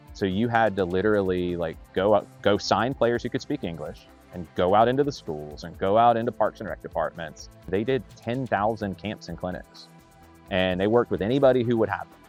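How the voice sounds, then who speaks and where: A man's voice: on the low side, normal speed, a little mellow, faint music behind. A man in an informal interview, explaining local soccer history in a conversational way.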